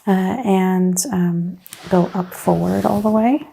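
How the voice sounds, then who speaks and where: A woman speaks softly as a chiropractor in an ASMR roleplay, her voice mellow and hushed, often slipping toward a whisper. There is some creak in it, at an average pitch, over faint background noise. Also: fairly even intonation, slow pace, rough recording. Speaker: ASMR host playing a chiropractor. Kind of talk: soft-spoken ASMR medical roleplay.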